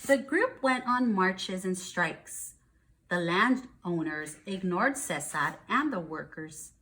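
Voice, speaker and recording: A woman's voice: lowish voice, lively intonation, slow pace, fairly bright tone, a little hiss or hum, poor audio. An American woman, a first grade teacher, reads aloud to young children and explains things simply, checking in with questions.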